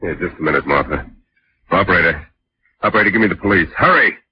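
Low-voiced actor as scheming male servant. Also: fast, close to an everyday voice. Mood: feigned shock. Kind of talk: 1940s radio acting. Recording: noisy muffled vintage radio recording.

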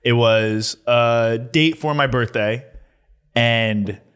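A man's voice: mid-range voice, slow pace, fairly bright tone, smooth. A man co-hosting a podcast in casual chat, teasing and laughing often. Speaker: podcast co-host.

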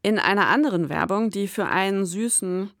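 A woman's voice: medium pitch, moderate pace, fairly bright tone, no room sound, studio quality. A woman in her forties co-hosting a podcast, mixing scripted narration with casual conversation. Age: 40s. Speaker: podcast co-host.

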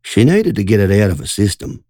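Brief: narrator putting on a gruff old bloke, low and dry, few words. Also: male voice, very animated, fairly quick, dark tone, no room sound. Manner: short, dry, understated replies. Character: gruff old country man, quiet and dry.

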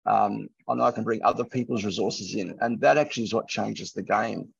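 Gravelly, muffled man telling stories. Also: lowish voice, a little fast, no echo. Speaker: entrepreneur panelist. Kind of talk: conversational panel storytelling. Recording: lo-fi, muffled audio.

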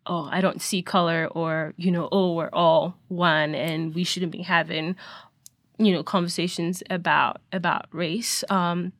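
A woman's voice: on the low side, little pitch movement, unhurried, a bit bright, no room sound, studio quality. A woman raised in Nigeria, a podcast guest talking in a reflective, emphatic way with many fillers. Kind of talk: conversational discussion.

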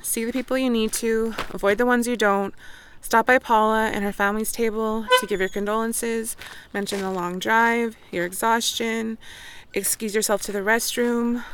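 A woman's voice: a little high, steady tone, normal speed, fairly bright tone, slight background noise. A woman playing a guarded, wry young woman home for a funeral in an audio drama, casual and self-interrupting. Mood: anxious planning. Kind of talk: naturalistic audio drama monologue and dialogue.

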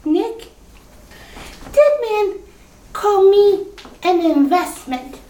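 A woman doing a squeaky brassy street-smart gal. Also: expressive, very slow, warm tone, recorded from a distance, exaggerated voice. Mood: brash. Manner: slangy, brash, wisecracking. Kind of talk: retro radio drama acting. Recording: echoey room, from off mic.

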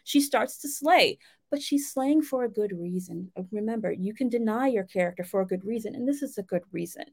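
A woman's voice: fairly high pitch, animated, brisk, gravelly. A woman giving a casual instructional talk as a writing coach, speaking directly and encouragingly to her listeners in a conversational way.

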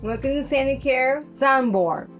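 A woman hosts a podcast with scripted introductions. Her voice is fairly high and she takes it slowly, over faint music. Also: animated. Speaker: podcast host. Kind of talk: scripted hosting.

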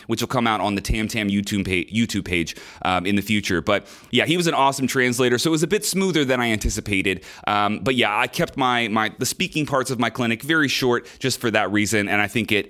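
A man's voice: mid-range voice, a bit bright. A man hosting a podcast, talking in a candid, casual way and sounding nasal from a sinus infection, with many fillers and repeated words. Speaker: podcast host and drummer.

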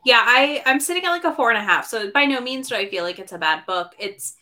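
Casting note: woman podcast host, bright, forceful tone, chatty and self-correcting. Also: fairly high pitch, expressive, fast talker. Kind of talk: casual book discussion.

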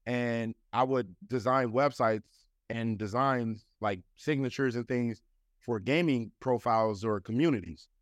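A man's voice: mid-range voice, measured pace, no room sound. A man in his thirties, a guest in a casual interview, talking loosely with lots of "you know" and "like" fillers. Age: 30s.

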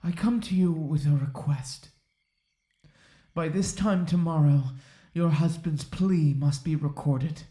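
An actor playing an uneasy, dutiful Puritan sheriff in a period audio drama, restrained and formal. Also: male voice, fairly high pitch, takes it slowly, mellow. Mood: restrained, coaxing. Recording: roomy, echoing sound.

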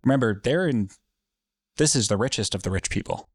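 A man's voice: medium pitch, lively intonation, fairly quick, slightly rough, dry recording, studio quality. Profane, rambling male podcast host. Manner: rambling, profane, lots of fillers. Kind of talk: casual storytelling chat.

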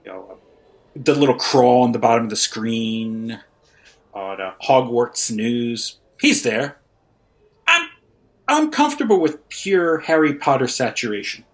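A man's voice: mid-range voice, sing-song, a little slow. A man playing a starstruck, self-effacing student and devoted fan, earnest and repetitive in an improvised comedy sketch. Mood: contented, meek.

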